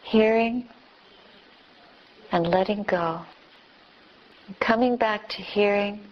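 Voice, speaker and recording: A woman teacher leading a guided meditation with gentle instructions in short, spaced phrases. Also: mid-range voice, slow pace, slight background noise. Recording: dull, lo-fi recording.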